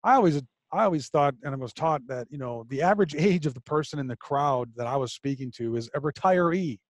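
A man's voice: fairly high pitch, animated, fairly quick, no echo. A man in his forties hosting a video call interview on a headset mic, musing aloud with fillers and restarts. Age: 40s. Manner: thinks aloud with fillers and repeated starts. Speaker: podcast host. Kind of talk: conversational interview. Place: home studio with a flag behind, indoors.

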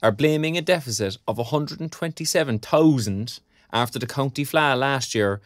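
An actor playing a frank, sardonic young Irish graduate in an audio drama, speaking colloquially. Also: male voice, mid-range voice, expressive, normal speed, no room sound, studio quality, lightly acted. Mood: wry, teasing. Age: young adult. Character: young underemployed arts graduate, frank and wry. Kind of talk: naturalistic audio drama dialogue.

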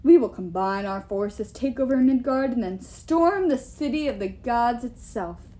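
A woman's voice: a little high, expressive, measured pace, mellow. A woman voicing a huge, boastful and menacing male giant king in an audiobook, with emphatic, pompous delivery. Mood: grandiose. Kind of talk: audiobook character voice.

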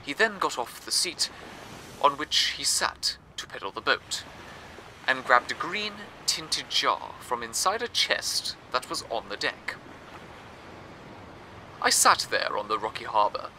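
A man hosting a radio show, telling a story in scripted, wordy, measured narration. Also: medium pitch, bright, forceful tone, slight background noise, rough recording. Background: music.

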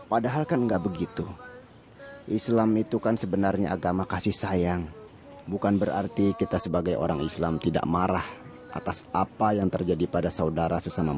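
A man playing a deep-voiced, calm village cleric. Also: natural voice. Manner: calm, gently counseling. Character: village Islamic cleric, calm, patient, wise. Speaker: religious teacher. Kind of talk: radio drama acting.

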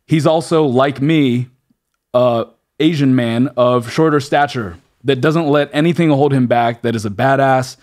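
A man's voice: mid-range voice, a little slow, darkish tone. A man from Los Angeles hosting a podcast, talking in a laid-back, enthusiastic way with casual slang. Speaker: podcast host.

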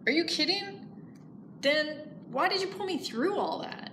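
A woman voicing an exasperated, sarcastic little storybook rabbit with a deadpan manner. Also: extremely high pitch, unhurried, reverberant, noisy background, voice pushed up. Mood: indignant.

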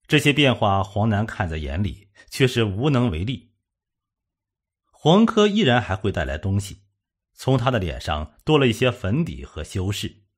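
A man's voice: lowish voice, very animated, moderate pace, slightly rough. A man narrating a suspense audiobook in a dramatic, solemn storytelling manner.

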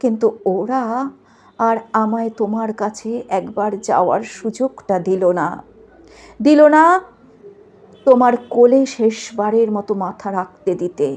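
A woman's voice: medium pitch, a little slow, warm tone, close to an everyday voice. A woman reciting as an anguished young ghost. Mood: pleading. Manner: anguished, tearful, pleading. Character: murdered young woman doctor's ghost, grieving, pleading to her mother. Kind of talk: dramatic recitation.

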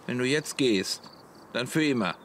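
An actor playing a bossy, melodramatic, self-important camper on night watch in a German comedy audio play. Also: male voice, medium pitch, animated, normal speed, natural voice. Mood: angry, bossy. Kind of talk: German radio comedy acting. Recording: noisy outdoor night ambience with crickets.

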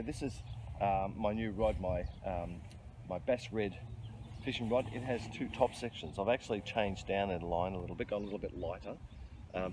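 A middle-aged man explains his fishing setup in a chatty, instructive way, full of ums and restarts. His voice sits fairly low. He is recorded close on a riverbank, with distinct outdoor noise behind him. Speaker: fishing vlogger. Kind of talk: casual outdoor fishing commentary. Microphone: handheld camera close-up.

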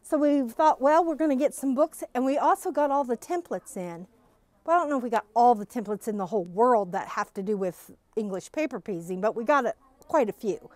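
A woman's voice: fairly high pitch, animated, brisk, a little mellow, no echo. A middle-aged woman giving a casual, gushing tour of new stock in a quilt shop, talking through a face mask to a phone camera. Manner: bubbly, gushing enthusiasm. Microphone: phone camera following her, wearing a face mask.

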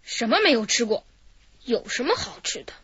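An actress playing a lazy, grumbling master thief in a radio drama. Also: female voice, a little high, sing-song, moderate pace, a bit bright, slightly rough, no echo, lo-fi sound, full character voice. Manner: sleepy, grumbling, offhand.

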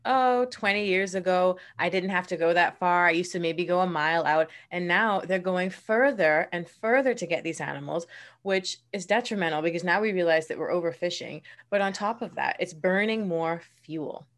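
A young woman from the Bahamas speaks in a lowish voice, explaining things earnestly and at length. Her pace shifts between quick bursts and slower, careful stretches. She talks over a video call from a room at home. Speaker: marine conservationist guest. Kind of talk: casual interview.

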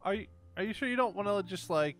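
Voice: mid-range voice, animated, fast talker, a bit bright, close to an everyday voice. Someone playing a nervous, hesitant best friend in an improvised Minecraft roleplay, stammering through objections. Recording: music behind the voice.

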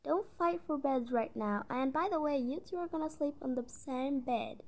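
A bossy, weary parent acted by a woman in a family roleplay story. The voice is high, with a firm way of herding the kids. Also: moderate pace, darkish tone, natural voice. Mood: exasperated.

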